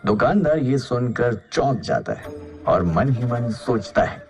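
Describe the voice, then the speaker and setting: A man's voice: mid-range voice, very animated, a little slow, creaky, a little mellow, faint music behind. A man narrating a scripted children's cartoon story as its storyteller.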